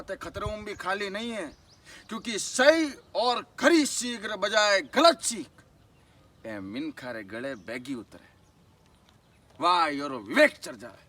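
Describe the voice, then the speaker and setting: A man's voice: extremely high pitch, sharp tone, exaggerated voice. A man with a Rajasthani accent playing a shrewd, outspoken village shepherd in an emphatic theatrical monologue. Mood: earnest, admonishing. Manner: rustic, declamatory, emphatic. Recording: distant microphone.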